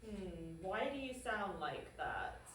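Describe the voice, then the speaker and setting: Woman doing a demanding cartoon caller, suspicious, pitch all over the place, far off in echoey room. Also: very animated, takes it slowly. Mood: suspicious, worried. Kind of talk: comedy animation table read. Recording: echoey room from afar, faint noise.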